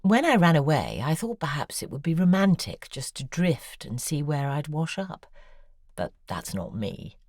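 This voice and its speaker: A cool, probing novelist in an audio drama, her voice sitting unusually deep while it rises and falls freely. She talks in a measured, wry way, recorded close and dry with no room around her. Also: big pitch swings, darkish tone, no echo. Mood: reflective. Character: poised older woman novelist, cool and probing. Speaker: author character.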